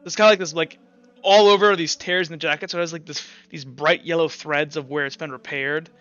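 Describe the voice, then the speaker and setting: A man's voice: on the high side, steady tone, a little fast, a bit bright, clean tone, no room sound, muffled recording. A man running a tabletop game as game master, describing items in a rambling way full of "like" and "uh.". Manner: rambling, heavy use of "like" and "uh" fillers. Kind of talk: narrating a tabletop game over voice chat.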